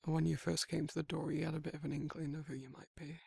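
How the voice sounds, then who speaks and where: Casting: man to act as tender, guarded male werewolf for ASMR roleplay; slightly high-set but husky voice, hesitant, rambling delivery. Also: level tone, mellow, no room sound, studio quality, heavily acted. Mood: shy, tender. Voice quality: husky, breathy, gravelly. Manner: hesitant, halting, rambling, informal. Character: guarded, soft-hearted male werewolf shyly confessing affection. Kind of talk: intimate ASMR roleplay monologue.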